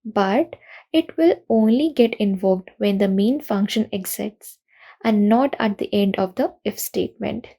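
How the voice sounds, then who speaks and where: A young woman giving a recorded conference talk, explaining programming pitfalls in an earnest, steady way. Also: medium pitch, unhurried, gravelly. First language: not Russian. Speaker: conference speaker.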